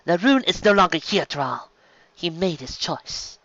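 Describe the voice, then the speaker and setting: Determined alien scholar woman, read by a man: soft, earnest delivery for sci-fi storytelling. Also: high voice, big pitch swings, measured pace, no room sound, highs cut off, pitched above the performer's own voice, exaggerated voice. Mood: resigned.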